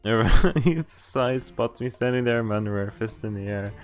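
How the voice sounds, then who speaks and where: A man's voice: medium pitch, steady tone, brisk, soft background music. Casual male game streamer reading aloud. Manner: casual, sweary, amused. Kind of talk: reading visual novel text aloud with casual commentary.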